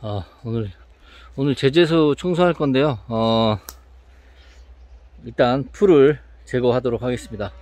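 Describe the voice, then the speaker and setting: A man's voice: mid-range voice, a little slow, long pauses, a little mellow, no room sound. A man in his thirties filming himself with a phone outdoors, narrating a casual, upbeat vlog straight to the camera. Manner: upbeat, chatty, talks to the camera. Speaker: vlogger. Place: outdoors in an overgrown yard. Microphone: phone at arm's length.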